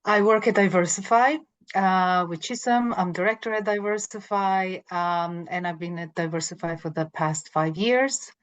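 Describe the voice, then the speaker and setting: A woman from Croatia, a company director, introducing herself on a video call in a friendly, halting way with many fillers. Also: on the low side. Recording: dull lo-fi call audio.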